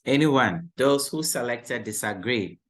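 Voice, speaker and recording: Slow-paced male panel moderator. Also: mid-range voice, animated. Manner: slow, coaxing, repeats appeals to the audience.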